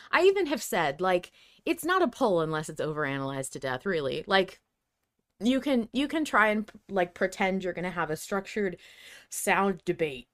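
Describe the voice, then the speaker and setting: A woman co-hosting a podcast, talking casually about a fandom in an animated, emphatic way and swearing freely. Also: mid-range voice. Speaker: podcast co-host. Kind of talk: casual fan discussion.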